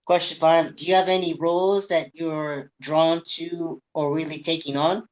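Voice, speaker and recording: A man's voice: fairly high pitch, flat delivery, unhurried, rough recording. A man interviewing, reading numbered questions in a brief, plain way.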